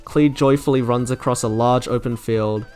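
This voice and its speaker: A man's voice: medium pitch, steady tone, moderate pace, darkish tone, smooth. A man delivering scripted, enthusiastic review narration in an articulate, wordy way, like a video essayist. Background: music playing under the narration.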